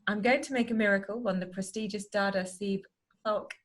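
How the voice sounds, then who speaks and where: A woman's voice: medium pitch, steady tone, a little fast. A woman hosts an interview in a mid-range voice. She talks conversationally and is openly effusive and upbeat as she presents her guest. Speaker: show host. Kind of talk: interview introduction, chatty.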